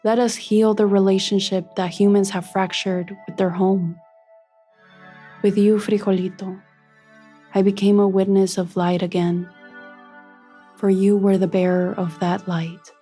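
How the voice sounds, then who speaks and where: A woman voicing the spirit of an elder corn plant, telling her story in slow, lyrical storybook narration. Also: medium pitch, flat delivery, warm tone, slightly rough, soft background music. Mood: tender.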